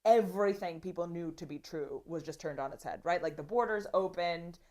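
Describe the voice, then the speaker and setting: A woman's voice: fairly low pitch, a little fast, mellow. A young woman from Russia, a comedian guest on a casual podcast, rambling with lots of "like" and acting out quotes.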